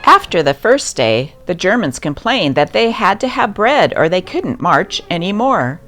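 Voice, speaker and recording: Woman narrating old newspaper articles, lowish voice, very animated pitch, music under it. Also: unhurried. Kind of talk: scripted narration, reading news aloud.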